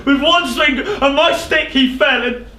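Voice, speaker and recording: A man playing a guilt-haunted king in a classical tragedy, declaiming in a halting, overwrought way on a theatre stage. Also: extremely high pitch, pushed and bright, exaggerated voice. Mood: anguished confession. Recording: echoey theatre stage, clear background noise.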